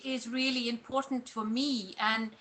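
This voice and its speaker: A woman from Iceland, whose first language is Icelandic, answering interview questions as a personal branding coach, pausing and restarting as she thinks. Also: fairly high pitch, steady tone, a bit bright, slight background noise. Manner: reflective, pauses and restarts mid-thought.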